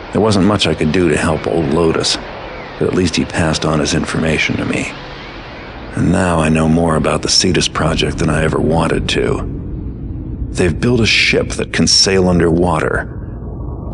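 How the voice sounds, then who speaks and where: A male actor playing a cynical master thief in a video game, with a dry, sardonic, world-weary delivery and a gravelly voice. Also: background noise. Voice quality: very low, gravelly. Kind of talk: video game cutscene dialogue and narration. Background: music.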